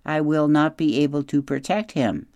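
A man voicing a careful, literal-minded female robot mother in an audiobook, speaking in a stiff, measured way. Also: on the high side, darkish tone, no room sound, clean studio sound, voice pushed up, lightly acted. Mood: earnest. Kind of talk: audiobook character voice.

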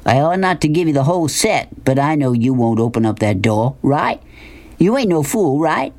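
A gruff, bullying country man from a storybook, voiced by a woman reader, speaking with a drawl. He is folksy and pushy, with a mocking edge to what he says. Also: sits low, sing-song, normal speed, darkish tone, voice pushed up. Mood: pushy, instructing. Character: gruff, bullying, sweaty-faced rural motel man.

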